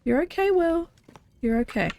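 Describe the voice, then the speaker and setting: A woman's voice: very high voice, lively intonation, normal speed, creaky, mellow, a little hiss or hum. Stuffy-nosed woman chatting through gameplay. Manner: chatty, thinking aloud while playing. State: stuffy nose, congested. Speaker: game streamer. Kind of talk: casual live gameplay chat. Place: at home.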